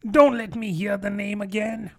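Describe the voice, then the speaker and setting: A man voicing a small, touchy male mouse in a storybook, shrill, passionate and indignant. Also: steady tone, normal speed, darkish tone. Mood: trembling indignation. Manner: indignant, emphatic. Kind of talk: storybook character voice.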